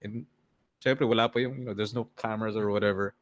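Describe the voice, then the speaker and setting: An older man with a Filipino accent, a podcast guest talking in a deadpan way and mixing English with Tagalog in casual chat on a video call. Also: fairly low pitch, quick pace, dry recording. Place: home room on a video call.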